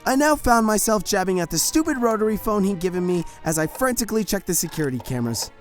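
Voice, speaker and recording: First-person audio-drama narrator, harried warden, read by a performer; animated, exasperated, faint music bed. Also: medium pitch, natural voice. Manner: frantic, exasperated storytelling.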